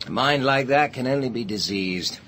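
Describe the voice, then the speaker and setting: An actor playing an opinionated, matter-of-fact British man in a radio drama, speaking in a brisk, reasoning way. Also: fairly bright tone, natural voice. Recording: noisy, rumbling radio drama scene.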